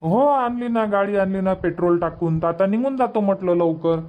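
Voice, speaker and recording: A man's voice: high voice, normal speed, darkish tone, natural voice. A man voicing an impatient, bossy village family man in a cartoon comedy, rambling in colloquial Vidarbha Marathi.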